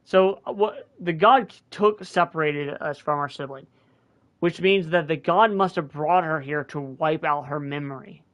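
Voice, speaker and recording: A man's voice: fairly high pitch, unhurried, a bit bright, muffled recording. A man hosting a gaming video, thinking aloud as he recaps the story, his voice starting to wear out. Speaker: gaming channel host. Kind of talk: casual gameplay commentary.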